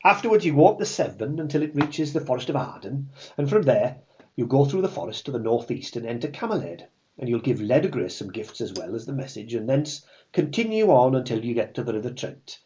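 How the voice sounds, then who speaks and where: A man's voice: medium pitch, sing-song, fairly quick, warm tone, lo-fi sound, close to an everyday voice. A man voicing Merlin, an old male wizard, with a put-on Welsh accent, giving orders. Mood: commanding. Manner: commanding, briefing tone.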